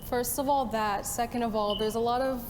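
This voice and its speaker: Outspoken young woman, higher voice. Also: steady tone, a little fast. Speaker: panel participant. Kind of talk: casual panel answer. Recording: reverberant room with faint hiss.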